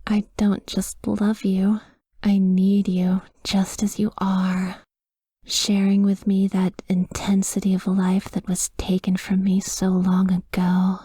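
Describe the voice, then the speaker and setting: A woman's voice: mid-range voice, monotone, breathy edge, no echo, clean studio sound. Woman playing a whispering, tender female vampire lover. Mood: loving, melancholy. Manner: slow, intimate, hushed whispering. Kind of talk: whispered ASMR roleplay monologue.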